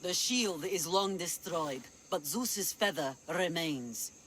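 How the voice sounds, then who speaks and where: Imperious pirate leader. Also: female voice, on the low side, expressive, takes it slowly, fairly bright tone, a little hiss or hum. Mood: proud, acquisitive. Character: commanding, proud female pirate leader who covets treasures. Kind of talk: video game cutscene dialogue acting.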